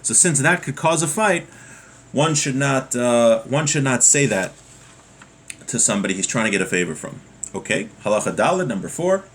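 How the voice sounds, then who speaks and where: A man's voice: mid-range voice, lively intonation, moderate pace, a bit bright, breathy edge. A man giving an informal lesson as a religious teacher, reading from a text and then explaining it with everyday examples.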